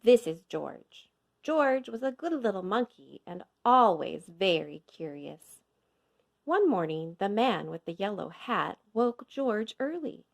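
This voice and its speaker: A woman narrates a picture book for young children in an expressive, teacherly way. Her pace is slow and her pitch moves freely, with a creaky edge to the voice. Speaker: kindergarten teacher reading aloud. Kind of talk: scripted children's storybook narration.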